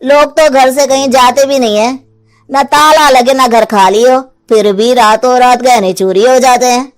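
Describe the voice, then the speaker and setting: A woman's voice: high voice, steady tone, a little slow. A woman playing a puzzled, concerned villager in a story, speaking conversationally. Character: puzzled, concerned villager gossiping about thefts. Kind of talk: acted story dialogue.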